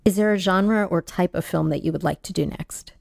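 A woman's voice: on the low side, fairly quick, vocal fry, a little mellow. A woman hosting a podcast, putting interview questions to a guest.

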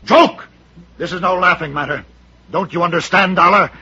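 A man's voice: sits high, normal speed, bright, forceful tone, husky, exaggerated voice. An actor playing a panicky, demanding comedian afraid for his life in old-time radio drama. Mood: panicked. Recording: dull, noisy old radio transcription.

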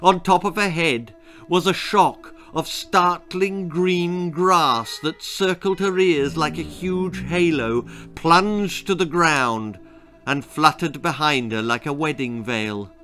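A man's voice: a little high, takes it slowly, bright, forceful tone, faint music behind. A British man in his sixties reading a children's story aloud in a dramatic storytelling style. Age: 60s. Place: small book-lined room indoors.

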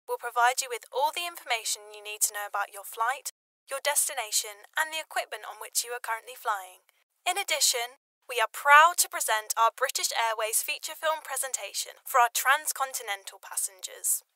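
A young woman reading scripted advert narration in a smooth, measured, polished way. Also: on the high side, pushed and bright, dry recording, poor audio. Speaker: voice-over artist. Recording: studio voice-over with music behind. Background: music.

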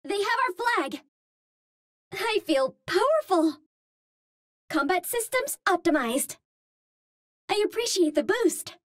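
A woman's voice: very high voice, very animated, slow pace, long pauses, no echo, studio quality, exaggerated voice. An actress playing a cute, naive young Martian space healer, speaking in a sweet, girlish, precise and formal way. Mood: earnest, alert.

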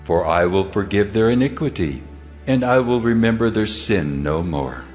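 An actor playing God, solemnly declaring promises in a measured dramatized scripture reading. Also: male voice, lowish voice, animated, close to an everyday voice. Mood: solemn, assuring. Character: God speaking, solemn male divine voice of promise. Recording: long cavernous reverb, music behind.

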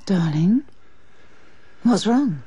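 Scheming English mistress, mellow husky voice, teasing, intimate, persuasive, radio play. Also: female voice, mid-range voice, expressive, drawn-out words, heavily acted. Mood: intimate, intrigued. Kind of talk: radio drama acting.

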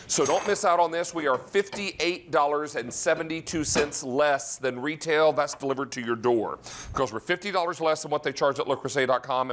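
An American man in middle age hosts a live TV sales pitch. He talks in a folksy, effusive way, at a medium pitch, selling from a studio kitchen. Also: a bit bright, a little hiss or hum. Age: middle-aged. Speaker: shopping channel host.

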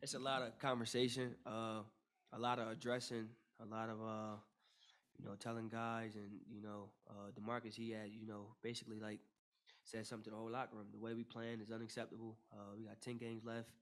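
A young man, a basketball player, answering questions at a postgame press conference into a table mic, with a steady delivery full of "you know" fillers and restarts. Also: on the low side, fairly quick. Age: young adult. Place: press room with logo backdrop. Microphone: table mic in front.

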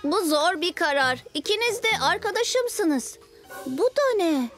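Little boy superhero, children's cartoon female actor: extremely high voice, earnest, keen, over prominent music. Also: sing-song, measured pace, heavily acted. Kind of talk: dubbed children's cartoon acting. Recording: loud background music.

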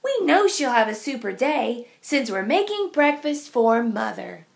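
Female children's story reader: high pitch, creaky edges, deliberate sing-song phrasing. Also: sing-song. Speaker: teacher reading aloud. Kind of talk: picture-book read-aloud for young children.

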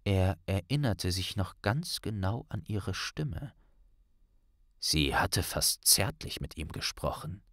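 Male audiobook reader for dramatic fiction, measured pace, dry recording. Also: sits low, big pitch swings, a bit bright, dry recording. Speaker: audiobook narrator. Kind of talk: dramatic scripted story narration.

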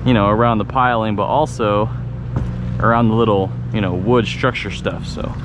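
A young man chatting excitedly and laughing as he fishes from a pier, talking in casual slang. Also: on the low side, normal speed. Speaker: fishing vlogger. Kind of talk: casual chat while fishing. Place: outdoors on a concrete bridge pier over a bay. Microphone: action camera. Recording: outdoor action-camera audio with loud wind noise. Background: wind and water.

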